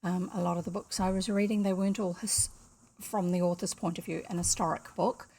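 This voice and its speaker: An older New Zealand woman reads aloud in a relaxed, meandering way, breaking off to explain things as she goes. Her voice has a gravelly, husky edge. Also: on the low side, a little fast. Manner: chatty, rambling, interrupts herself to explain. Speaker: storyteller reading books aloud. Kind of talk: casual read-aloud with chatty asides. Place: home desk with a computer.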